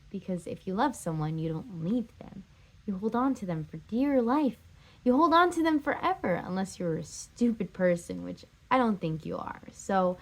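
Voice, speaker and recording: A woman's voice: a little high, voice pushed up. A woman performing a stage drama role as a heartbroken, insistent jilted lover, pleading emphatically and repeating herself. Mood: hurt, insistent. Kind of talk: stage drama scene and monologue.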